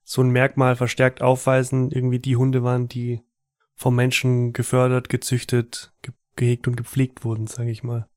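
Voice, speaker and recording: Flat-voiced man podcast co-host. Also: medium pitch, moderate pace, no echo, clean studio sound. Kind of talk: casual podcast chat.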